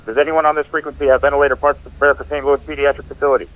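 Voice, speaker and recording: A man acting as a brisk emergency medical coordinator on a radio drama broadcast. He rattles through formal, procedural phrases at speed. The transmission is dull and narrow, like a field radio. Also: on the high side, flat delivery. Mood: businesslike. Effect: radio filter. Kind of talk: scripted radio dispatch in an audio drama. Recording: muffled, band-limited radio transmission.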